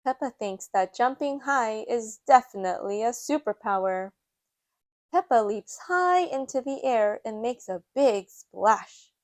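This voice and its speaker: A woman reads a children's picture book aloud. Her voice sits a little high, and her storytelling is measured and slow, with hardly any room sound. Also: animated, no echo, clean studio sound. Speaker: storytime reader.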